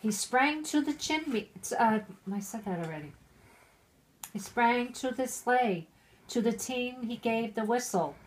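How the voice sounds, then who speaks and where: A middle-aged woman who is drunk, reading aloud haltingly with chatty asides, stumbling over and repeating words. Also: on the high side, expressive, a little hiss or hum, rough recording. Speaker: mother reading a Christmas poem aloud.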